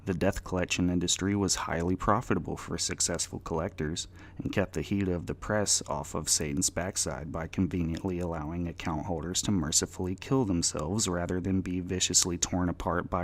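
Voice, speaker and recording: A man's voice: sits low, steady tone, normal speed. A man narrating fiction as a scripted dramatic reading. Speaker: audiobook narrator.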